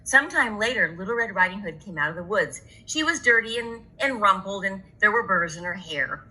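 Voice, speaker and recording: Bright, animated woman storyteller. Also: mid-range voice, brisk, poor audio. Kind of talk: animated read-aloud of a children's story.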